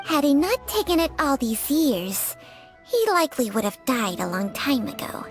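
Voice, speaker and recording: A woman's voice: sits high, sing-song, moderate pace, soft background music. A woman voicing an ancient female talking snake in a video game, sly and world-weary, with a theatrical, knowing delivery. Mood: matter-of-fact.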